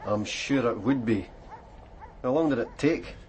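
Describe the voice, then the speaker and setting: An actor playing a steady, terse detective sergeant in a radio crime drama, asking short probing questions. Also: male voice, mid-range voice, a little slow, a little hiss or hum, lightly acted. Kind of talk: naturalistic radio drama acting.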